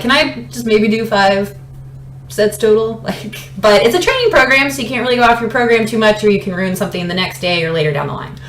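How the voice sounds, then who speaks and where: Chatty young woman in an echoey room. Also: mid-range voice. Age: late 20s. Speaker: fitness vlogger. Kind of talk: casual chatty personal storytelling. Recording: roomy echo, light background noise.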